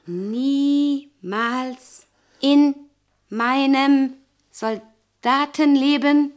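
An actress playing a domineering, sensual woman obsessed with a lost lover in a radio play, commanding and often hushed. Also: sits high, very slow. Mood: commanding, insistent. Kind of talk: German radio play acting.

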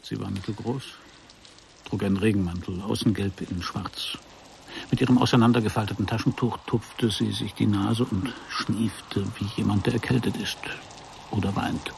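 An actor playing a wry private detective who narrates his case in a dry, deliberate, sardonic way for a radio crime play. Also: lowish voice, fairly even intonation, creaky, darkish tone, slight background noise. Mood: wry, atmospheric. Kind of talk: scripted first-person noir radio play narration.

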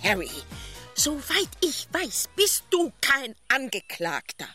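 An actress playing a strict, bossy middle-aged wife in a German radio play, giving emphatic, commanding instructions. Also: female voice, high voice, sing-song, slow pace, bright, forceful tone, soft background music, exaggerated voice. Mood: stern, urging.

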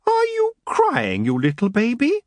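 A man's voice: high voice, huge pitch swings, measured pace, fairly bright tone, dry recording, studio quality, voice pushed up, heavily acted. A man voicing a haughty elf prince in a storybook, screechy and silky, cruel and taunting. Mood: sneering contempt. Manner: taunting, disdainful.

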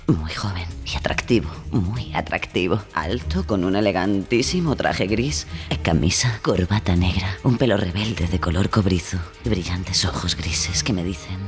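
A woman with a Spanish accent from Spain voicing an infatuated, breathy young heroine in a melodramatic parody of dubbed films. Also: a bass voice, expressive, normal speed, few pauses, voice pushed down. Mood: swooning. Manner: breathy, melodramatic, whispery sighs. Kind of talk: parody of film dubbing. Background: music.

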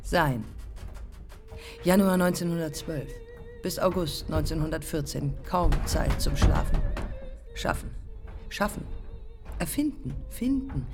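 An actress playing a proud, embittered woman aviator looking back in terse, deliberate fragments, in a German radio play. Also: fairly low pitch, sing-song, music in the background. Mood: intent, clipped. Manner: terse, deliberate, pausing between short phrases.

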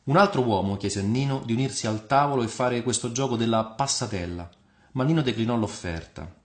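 A man's voice: medium pitch, a little fast. A man reading scripted literary narration as a radio storyteller. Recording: reverberant voice over music. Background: soft music.

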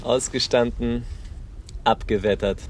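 A man playing a laid-back male poet who muses in slow aphorisms in a radio play. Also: mid-range voice, fairly even intonation, slight background noise, close to an everyday voice. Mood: musing. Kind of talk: radio drama acting.

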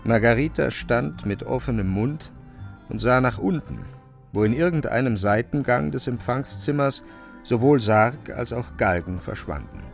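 A man's voice: medium pitch, lively intonation, normal speed, vocal fry, soft background music. A man narrating a literary radio play, telling the scene as a scripted story.

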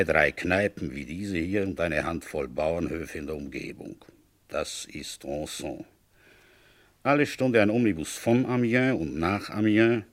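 A world-weary village police commissioner in a radio crime play. His voice is husky and swings widely in pitch as he grumbles along conversationally. He sounds gruff and tired of his sleepy post. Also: full character voice. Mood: resigned, wry. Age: middle-aged. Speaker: narrator and lead character. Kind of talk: German radio crime play, first-person storytelling.

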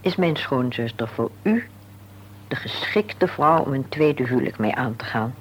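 An actress playing a composed, tactful middle-aged wife in a radio play, speaking formally and deliberately with polite insistence. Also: female voice, extremely low pitch, animated. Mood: frank, probing. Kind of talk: Dutch radio play acting. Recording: dull, hissy old radio recording with hum.

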